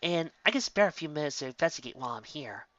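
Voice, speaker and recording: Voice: mid-range voice, animated, fast talker, fairly bright tone, no room sound, natural voice. A narrator voicing a studious young female unicorn scholar who talks in a self-assured, bookish way. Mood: musing. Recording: dull lo-fi recording.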